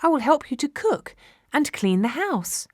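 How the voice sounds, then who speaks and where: A woman's voice: sits high, sing-song, measured pace, no echo, clean studio sound, voice pushed up, heavily acted. A woman voicing a tiny, sweet, tender-hearted fairy-tale girl who speaks with earnest emotion. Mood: eager.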